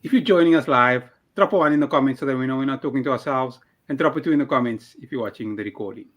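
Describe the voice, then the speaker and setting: A young man hosting an interview podcast, running through an upbeat intro and then asking questions in a relaxed way. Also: medium pitch, rapid-fire, lo-fi sound. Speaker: podcast host.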